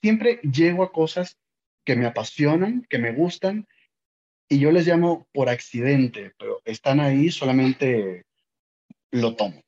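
A man's voice: medium pitch, expressive, unhurried, a little mellow, muffled recording. A man in his late thirties from Sonora, Mexico, with a northern Mexican accent, talking animatedly as an interview guest. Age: 30s. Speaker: guest, yoga teacher. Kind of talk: casual interview storytelling.

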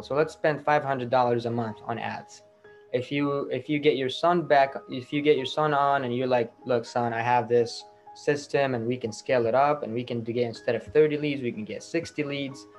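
A man giving a reassuring, persuasive sales pitch as a marketing agency salesman on a recorded call. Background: music.

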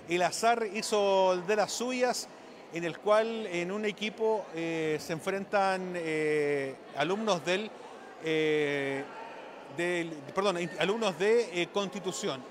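High-voiced Chilean man reporting over noise. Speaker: field reporter and commentator. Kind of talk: live event commentary and short interviews. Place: live at a sports venue. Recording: clear urban background noise.

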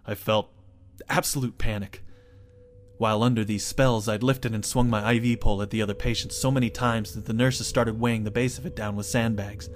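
A man reading his own first-person short story as dramatic scripted narration. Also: medium pitch.

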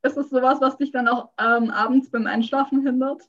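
Woman teasing her friend in a chat, pretty high voice, dull lo-fi sound. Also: steady tone, a bit bright. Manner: teasing, quick to laugh. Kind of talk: casual chat.

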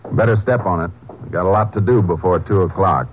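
A man playing a calm, courteous Texas Ranger in charge, matter-of-fact and authoritative, in a 1950s radio drama. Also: sits low, a little fast, close to an everyday voice. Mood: busy, focused. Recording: dull old radio recording, faint hiss.

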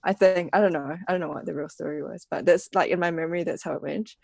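A woman's voice: lowish voice, fairly even intonation, quick pace, creaky, a little mellow, no echo, studio quality. A young woman from Malaysia chatting casually as a podcast co-host, giggling often and filling her talk with "like". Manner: giggly, many "like" fillers. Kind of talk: casual chat.

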